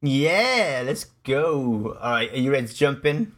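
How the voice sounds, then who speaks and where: A man's voice: medium pitch, big pitch swings, unhurried, a bit bright. Welsh male podcast host, announcer-style energy. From Wales. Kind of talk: casual podcast chat.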